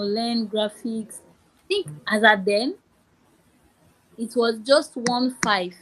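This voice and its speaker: A woman's voice: medium pitch, rough recording. A young woman from Nigeria with a Nigerian accent, a podcast guest talking casually, who repeats her phrases and restarts often. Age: young adult. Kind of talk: podcast interview.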